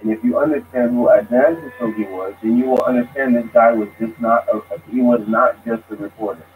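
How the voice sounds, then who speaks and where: A man speaking casually as a guest, halting and restarting his sentences. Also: medium pitch. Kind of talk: casual discussion. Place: lives abroad. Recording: tinny phone line with faint noise.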